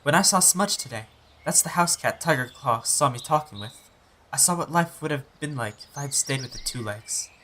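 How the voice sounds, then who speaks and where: A man's voice: medium pitch, expressive, normal speed, sharp tone. A man voicing an eager, earnest young male apprentice cat in an audiobook fan dub. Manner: earnest, youthful.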